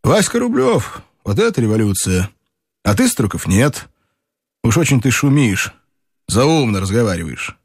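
A man doing a calm, doubting friend. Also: measured pace, breathy edge, no room sound, studio quality, exaggerated voice. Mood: doubtful. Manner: plain, doubtful, low-key. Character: calm, skeptical male engineer friend.

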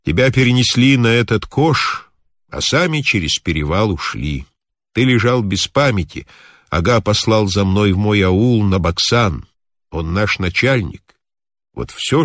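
A man voicing a kindly, talkative old mountain healer in a story, with a calm, instructive, measured manner. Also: fairly low pitch, dry recording, studio quality.

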